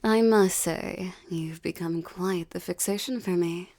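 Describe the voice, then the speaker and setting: A woman voicing a seductive, menacing succubus in an intimate audio roleplay, with formal, ornate phrasing and a teasing, breathy delivery. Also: fairly low pitch, measured pace, darkish tone, dry recording. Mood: possessive, teasing. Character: seductive, menacing adult female succubus demon, teasing and possessive. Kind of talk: intimate audio roleplay, ASMR-like monologue addressed to listener.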